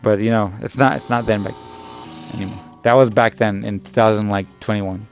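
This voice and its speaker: A man's voice: lowish voice, fairly even intonation, brisk, soft background music. A man in his early thirties in casual, teasing chat with gaming friends, loose and slangy, calling people "dude" and "bro" and swearing now and then. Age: 30s. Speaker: gaming streamer.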